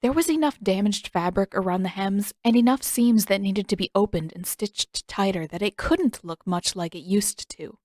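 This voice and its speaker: Woman, storybook narrator, medium pitch, scripted reading, dry close recording. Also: normal speed, few pauses, darkish tone, no room sound. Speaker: fan fiction narrator.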